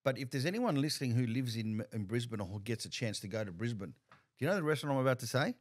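A man's voice: mid-range voice, animated, fast. A middle-aged man hosting a casual podcast interview, often restarting and repeating words as he thinks. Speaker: podcast host. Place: bar-like studio room, indoors. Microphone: desk mic on a stand.

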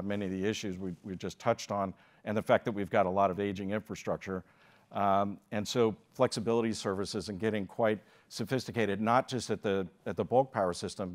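An older American man speaking at a lectern microphone on a conference stage, giving a keynote with measured delivery and frequent filler pauses. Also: lowish voice. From United States.

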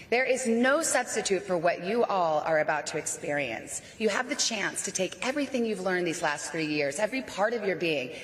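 Woman, 40s, commencement speaker; lowish voice, podium mic, long echoey PA reverb in a big tent, faint crowd ambience. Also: slight background noise. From Arizona. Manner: warm, playful, rousing oratory. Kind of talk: commencement speech. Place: large outdoor tent at a graduation.

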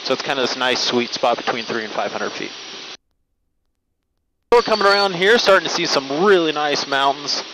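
Man, flight instructor, casual explaining, reassuring, medium pitch, headset mic, noisy helicopter cabin. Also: expressive, moderate pace, long pauses. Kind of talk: casual explanatory narration while flying. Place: small helicopter cockpit in flight. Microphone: aviation helmet headset mic. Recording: loud helicopter cabin noise, headset audio. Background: helicopter engine and rotor noise.

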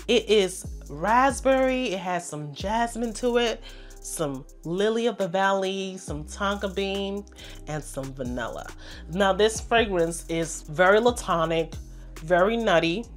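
A woman reviewing perfumes to camera in an enthusiastic, chatty way, congested and a little hoarse from a cold. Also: medium pitch. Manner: chatty, enthusiastic, addresses viewers as "you guys". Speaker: fragrance reviewer. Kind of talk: casual product review to camera.

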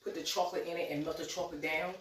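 A woman's voice: sits low, steady tone, rapid-fire, background noise. A woman in her forties with a slight cold, making a casual, chatty cooking vlog in her home kitchen and calling her viewers y'all. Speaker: cooking vlogger. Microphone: camera a few steps away. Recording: roomy kitchen echo, mic at a distance.

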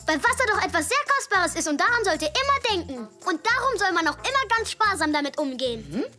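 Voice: extremely high pitch, big pitch swings, a little fast, sharp tone, faint music behind, full character voice. A young performer voicing an earnest, eager little zebra boy in a children's cartoon, with a childlike voice. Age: child character. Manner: earnest, instructive. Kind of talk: animated children's cartoon acting.

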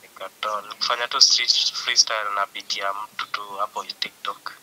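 Very bright-voiced Kenyan man on the phone. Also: on the low side, little pitch movement, moderate pace. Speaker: upcoming musician, phone guest. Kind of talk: casual phone chat. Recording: voice over a phone call.

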